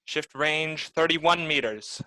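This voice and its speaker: A woman's voice: deep voice, slow, bright, forceful tone, full character voice, poor audio. A woman playing a stern artillery officer in a radio play, calling out clipped, firm commands. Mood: commanding. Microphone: video call.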